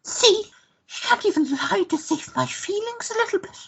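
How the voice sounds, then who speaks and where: A woman playing a jealous, sharp-tongued lover in a radio play, indignant and melodramatic. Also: very high voice, animated, moderate pace, creaky, background noise, full character voice. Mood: wounded, tearful.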